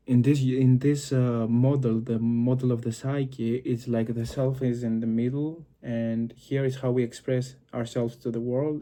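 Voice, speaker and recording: A man's voice: medium pitch, warm tone. A man from Greece with a Greek accent, talking to camera in a reflective solo video and often searching for words. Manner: searching for words, frequent fillers and restarts. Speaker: video host.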